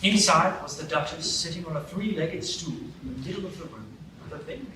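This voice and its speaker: A man's voice: a little high, animated. Male narrator in an echoey hall. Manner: measured, storytelling. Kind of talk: storybook narration in a live radio play. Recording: echoey hall, distant mic, noisy.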